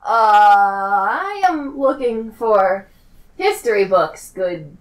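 A woman's voice: mid-range voice, animated, very slow. A woman chatting casually and jokingly as a player in a tabletop role-playing game. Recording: echoey room.

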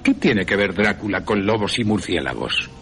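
A man's voice: fairly low pitch, lively intonation, moderate pace, creaky, fairly bright tone, a little hiss or hum, voice pushed up. A man voicing a sceptical young man, questioning and incredulous, with a Castilian Spanish accent, in radio storytelling. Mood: puzzled. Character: sceptical, baffled young man, slow to grasp.

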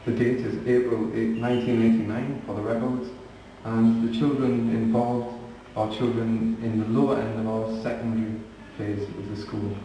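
A man with a North East English accent, a teacher stating the details of a school play in a flat, matter-of-fact way. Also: medium pitch, moderate pace. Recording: echoey hall, distant camcorder, hiss.

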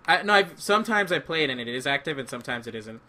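A man's voice: medium pitch, expressive, fast, pushed and bright. A man chatting casually and jokingly as he plays a co-op video game. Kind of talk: casual co-op gameplay chat.

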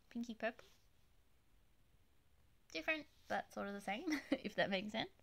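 A woman showing off her plush toy collection in a gushing, chatty way, her voice slightly croaky from being unwell, filmed on a handheld phone in her bedroom. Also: medium pitch. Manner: gushing, chatty, soft giggles. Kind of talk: casual show-and-tell narration. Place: bedroom with plush toys on display. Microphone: handheld phone camera, off-camera voice.

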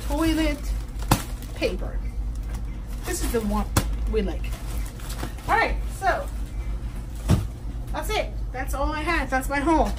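Higher-voiced woman vlogging over background noise. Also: animated, slow, long pauses. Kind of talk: casual vlog chat, mixing English and Spanish. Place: home kitchen, outdoors, and inside a car.

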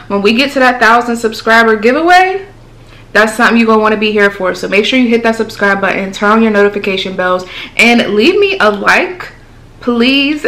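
A woman's voice: medium pitch, low-quality recording. A woman in her twenties, a beauty vlogger chatting casually about products, her voice altered while she recovers from throat surgery. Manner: chatty and animated, calls viewers y'all and girl. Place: small room indoors with product shelves.

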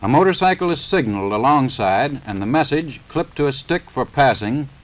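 An American man reading a formal 1930s scripted narration for a training film in a measured, matter-of-fact, instructional manner. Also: medium pitch, animated. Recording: dull, lo-fi 1930s film soundtrack.

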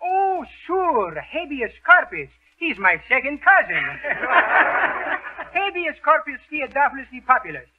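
Greek immigrant restaurateur, radio comedy: very high voice, fractured English, thin vintage broadcast sound. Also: male voice, very animated, measured pace, slight background noise, full character voice. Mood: genial, garbled. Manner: garbled grammar, malapropisms. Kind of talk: 1930s radio comedy acting. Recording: muffled, phone-like 1930s radio recording.

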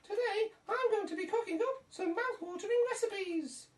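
A woman's voice: very high-pitched, moderate pace, far from the mic. A woman voicing a small, eager talking banana sidekick in a comic puppet show.

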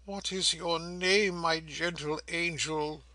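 A broken old man, long imprisoned as a male narrator plays him, speaks in a raised, strained pitch with a husky edge. He is bewildered and anguished, halting and repeating himself as in a classic novel read aloud. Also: sharp tone, no echo. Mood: anguished disbelief. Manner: halting, repetitive, exclamatory questioning.

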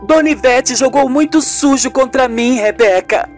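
A woman acts out an earnest friend with accusations to make in a radio soap. She is insistent and explanatory in a high voice, with music underneath. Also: normal speed, lightly acted. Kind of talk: amateur radio soap acting.

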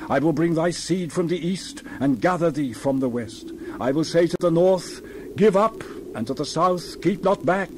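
A man giving a dramatized scripture reading in a solemn, declamatory, measured way with emphatic swells. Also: medium pitch, background noise. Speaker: Bible reader.

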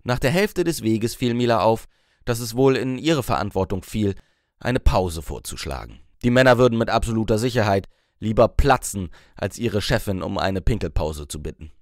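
A man narrates an audiobook in a fairly low voice. The reading is scripted prose fiction, told as a narrator. The sound is very dry, with no room on it. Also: no room sound.

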